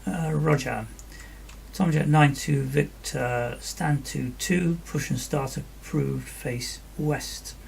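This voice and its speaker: Slow, very creaky man. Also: on the high side. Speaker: virtual air traffic ground controller and narrator. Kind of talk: radio phraseology and explanatory screen-recording commentary.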